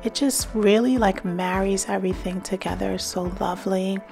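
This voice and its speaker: A middle-aged woman narrating a shop-with-me vlog about home decor, enthusiastic and gushing over finds, sometimes dropping to a soft whisper. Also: mid-range voice, steady tone, normal speed, gravelly, soft background music. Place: in a car, then walking through large stores.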